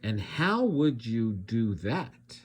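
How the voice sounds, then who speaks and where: A man's voice: medium pitch, big pitch swings, drawn-out words, breathy edge, heavily acted. A man voicing a strict, fussy monster teacher from a children's storybook, scolding and instructing with emphasis. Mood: brisk, teacherly. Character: strict, fussy male monster teacher, long-necked and yellow.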